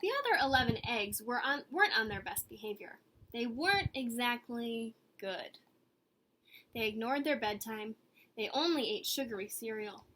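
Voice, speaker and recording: High-voiced earnest storybook egg played by a woman. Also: a little hiss or hum, rough recording. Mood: exasperated, weary. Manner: expressive, dramatic pauses and emphasis. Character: earnest, eager, weary good egg telling its story. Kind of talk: picture-book read-aloud.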